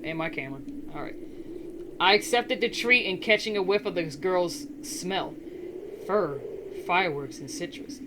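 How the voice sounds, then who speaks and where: A woman hosting a gaming channel, chatting casually and reading a horror game's story aloud in a steady storytelling manner. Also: lowish voice, noisy background. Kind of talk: reading a visual novel game aloud.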